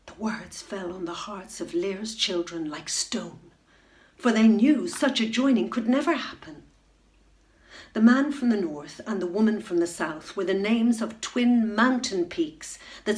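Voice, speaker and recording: A middle-aged woman reading a children's bedtime story, unhurried and expressive, dropping to a hush at tense moments. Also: mid-range voice. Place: room at home, indoors. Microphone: webcam facing the reader.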